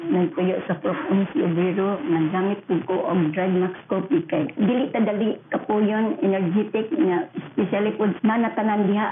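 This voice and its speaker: A Filipino woman with a Visayan accent gives a product testimonial at an ordinary pitch. The recording is thin and phone-like, with clear noise around her. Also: steady tone, moderate pace, few pauses. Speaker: testimonial giver. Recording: tinny phone-like audio, noisy surroundings.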